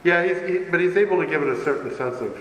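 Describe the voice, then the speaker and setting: A man's voice: fairly high pitch, quick pace. A man taking part as a panelist in a casual convention discussion. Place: convention panel room, indoors. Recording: echoey hall, clearly noisy room.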